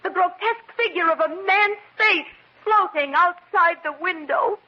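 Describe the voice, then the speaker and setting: A high voice on a muffled, slightly hissy vintage recording. She is an anxious, pleading young fiancée in an old-time radio mystery. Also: measured pace. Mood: frightened.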